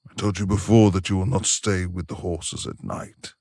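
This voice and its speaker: A man playing a gruff, reclusive lord of the manor in a romantic ASMR audio roleplay, with gravelly, formal, imperious speech and a tender streak. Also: deep voice, fairly even intonation, normal speed, warm tone, dry recording. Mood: stern, commanding. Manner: formal old-fashioned diction, imperious.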